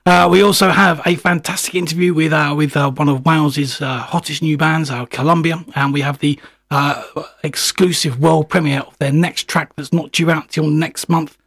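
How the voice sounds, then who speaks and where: A male radio host with a gravelly, slightly husky voice from a chest infection, chatting loosely with plenty of fillers. His pitch sits a little high for a man, and the sound is dry and close. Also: steady tone, normal speed, a bit bright, no room sound. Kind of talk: radio show presenting.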